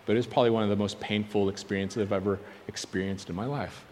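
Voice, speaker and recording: A man's voice: on the low side, steady tone, fast, a little mellow, a little hiss or hum. A man preaching through personal testimony, telling his story earnestly and acting out remembered conversations. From born in South Korea. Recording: long hall reverb.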